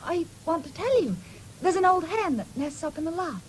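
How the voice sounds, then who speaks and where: Teasing farm girl, very high swoopy voice, vintage radio play. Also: big pitch swings, moderate pace, low-quality recording. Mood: playful. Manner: coaxing, playful. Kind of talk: radio drama acting. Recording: faintly hissy 1970s radio recording with clicks.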